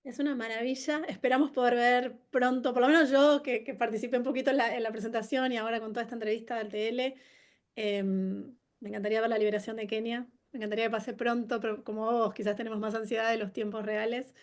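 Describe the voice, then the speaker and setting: A woman hosts an online interview in a somewhat high voice. She talks casually, stopping and restarting her sentences as she thinks. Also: flat delivery. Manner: warm-hearted, halting with many restarts and fillers. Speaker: webinar host. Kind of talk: conversational interview.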